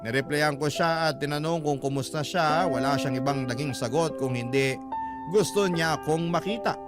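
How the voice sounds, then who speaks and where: A man's voice: on the high side, normal speed. A man narrating a first-person love letter as a radio storyteller, in a dramatic scripted style. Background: background music.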